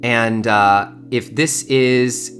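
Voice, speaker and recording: A man's voice: mid-range voice, fairly even intonation, extremely slow, fairly bright tone, faint music behind. A man in his early thirties hosting an informal online spiritual community gathering, talking effusively and repeating words for emphasis. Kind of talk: informal livestream talk to a community.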